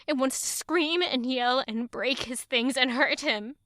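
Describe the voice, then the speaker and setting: A woman's voice: high-pitched, unhurried, pushed and bright, dry recording. An actress playing a haunted, bitter young woman who narrates her own story in an audio drama with dramatic intensity. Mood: bitter, seething.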